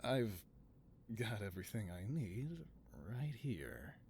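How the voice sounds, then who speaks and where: A man's voice: lowish voice, big pitch swings, slow pace, slight background noise, heavily acted. A man playing a theatrical, doting supervillain scientist. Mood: gentle, consoling. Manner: doting, theatrical, softly reassuring then grandiose. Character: affable, theatrical supervillain mad scientist boss, devoted to assistant. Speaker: voice actor. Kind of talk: scripted audio roleplay, one-sided conversation.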